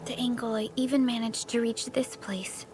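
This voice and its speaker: A woman voicing a composed, caring young field supervisor in a video game, measured and formal, with music playing. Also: fairly high pitch, fairly even intonation, background noise, close to an everyday voice. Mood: grave, determined.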